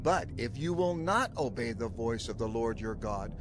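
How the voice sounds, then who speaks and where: A man's voice: mid-range voice, lively intonation, normal speed, close to an everyday voice. A man voicing an aged prophet solemnly warning his people, declaiming in a grave, rhythmic cadence over a music underscore. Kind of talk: dramatized scripture reading.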